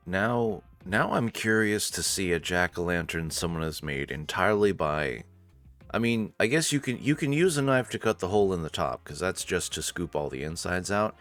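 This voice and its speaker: A man's voice: sits low, lively intonation, normal speed, a bit bright, soft background music. A man narrating a story game in a theatrical, playful way, with casual asides. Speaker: narrator and host reading a visual novel.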